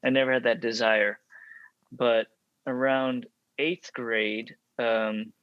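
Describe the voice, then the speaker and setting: A young man from Texas talks through his early life in a casual interview, reflective and full of small hesitations. His voice sits at a middle pitch. The recording is dry and slightly dull. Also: little pitch movement, takes it slowly, smooth, dry recording. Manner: reflective, many ums and restarts. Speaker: actor, podcast guest.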